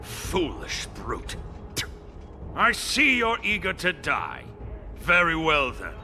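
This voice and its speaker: A man voicing a boastful, bloodthirsty enemy warrior in a fantasy game, gravelly, theatrical and taunting. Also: a little high, huge pitch swings, slow pace, sharp tone, soft background music, full character voice. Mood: mocking. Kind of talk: fantasy video game acting.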